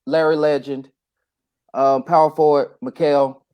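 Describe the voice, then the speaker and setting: A man as a podcast guest in a casual sports chat, playful and thinking out loud as he weighs his choices. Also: on the high side, little pitch movement, unhurried, clean tone, lo-fi sound.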